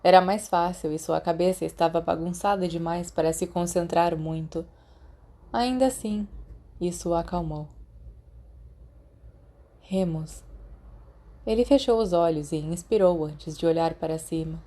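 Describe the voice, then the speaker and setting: A Brazilian woman narrates a story from a script. Her voice sits a little lower than most women's. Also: moderate pace, mellow. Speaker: podfic narrator.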